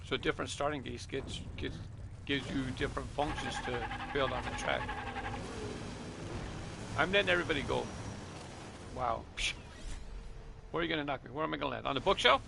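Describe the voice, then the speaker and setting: A man giving casual, relaxed commentary while playing a racing game, talking half to himself. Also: mid-range voice, a little fast, creaky, pauses often, heavy background noise. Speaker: gaming streamer.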